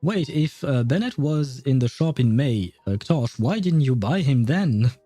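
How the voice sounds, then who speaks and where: A man streams a game in a very soft, dark, mellow voice. He chats casually and hesitantly with his viewers, chuckling in asides, with faint music behind him. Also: mid-range voice, expressive, a little slow, no room sound. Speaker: livestream gamer reading chat and game dialogue aloud. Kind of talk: casual livestream chat.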